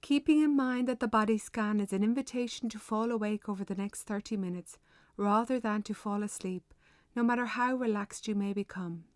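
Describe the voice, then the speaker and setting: A woman leading a guided meditation with measured, unhurried, gently instructive delivery. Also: mid-range voice, no room sound, clean studio sound.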